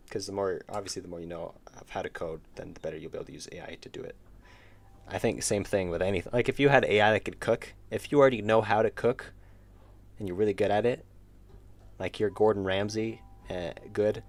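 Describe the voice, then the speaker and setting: A man chatting casually on a live stream while drawing, rambling and thinking aloud with restarts and chuckles, his voice wearing out. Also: on the low side. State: losing his voice. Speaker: streamer and indie game developer.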